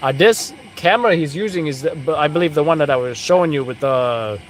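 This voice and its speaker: A man's voice: on the high side, animated, a little fast, slight background noise. A man hosting a casual live commentary, chatting and explaining police radio codes while watching footage. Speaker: host.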